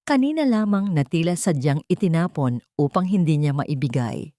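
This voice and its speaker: A woman's voice: low-pitched, animated, moderate pace, dark tone, dry recording, studio quality. A woman narrating a dramatic story from a script in a solemn, emotive manner.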